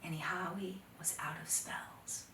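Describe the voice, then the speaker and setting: A woman telling a folktale to children, dramatic, expressive and deliberate, sometimes dropping to hushed phrases. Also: lowish voice, slight background noise. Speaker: storyteller. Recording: roomy echo, distant microphone.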